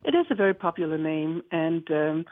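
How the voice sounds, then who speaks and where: A woman's voice: deep voice, lively intonation, fairly quick, no room sound. Middle-aged Danish-accented woman, cheerful rambling guest, call-in phone quality. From Denmark. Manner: chatty, cheerful, rambling with restarts. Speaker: guest, children's book author. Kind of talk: interview. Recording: tinny phone line.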